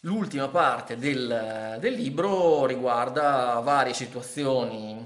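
A man's voice: mid-range voice, slow, fairly bright tone. Thoughtful man in an echoey room. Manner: hesitant, many fillers and restarts, thoughtful. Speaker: ski vlogger reviewing a book. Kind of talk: casual spoken book review to camera. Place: living room indoors. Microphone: camera in front of face.

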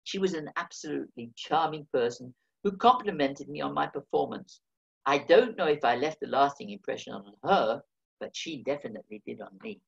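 A man's voice: fairly high pitch, animated, a little fast, creaky, highs cut off. A man, reading his own memoir aloud over a video call with dry, wry humor. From England. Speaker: memoir reader.

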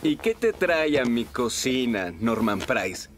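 An actor with a Latin American Spanish accent playing a jolly, theatrical firefighter cook in a children's cartoon, playful and sing-song. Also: male voice, mid-range voice, big pitch swings, slow. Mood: playfully suspicious. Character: jolly, theatrical male firefighter cook, possessive of his kitchen. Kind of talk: animated children's series dub. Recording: loud music underneath.

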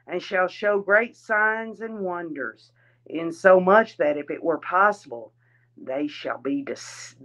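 A woman reading a religious address aloud in a deliberate, solemn manner. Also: fairly low pitch, a bit bright, dull audio. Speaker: reader.

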